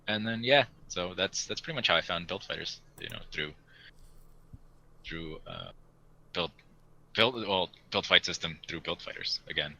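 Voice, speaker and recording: A man's voice: deep voice, normal speed, vocal fry, pauses often, pushed and bright, low-quality recording. A young man chatting casually and in a rambling way as a podcast host. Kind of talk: casual chat.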